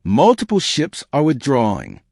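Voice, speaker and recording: A man's voice: mid-range voice, huge pitch swings, normal speed, gravelly, darkish tone, no room sound, studio quality, voice pushed up, full character voice. A man voicing an alert tactical officer in a sci-fi audiobook, giving urgent, clipped battle reports.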